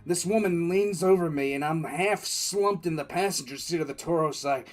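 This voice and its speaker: A man playing a battered, dutiful military commissar in an audio drama, narrating battle in the first person with intense, halting delivery. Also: on the high side, natural voice. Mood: dazed, straining. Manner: intense, halting, repeats phrases. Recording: background music under the voice. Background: music.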